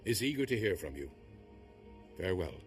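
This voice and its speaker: An actor playing an earnest, respectful Jedi envoy in a video game, speaking politely and formally. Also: male voice, low-pitched, big pitch swings, a little fast, soft background music.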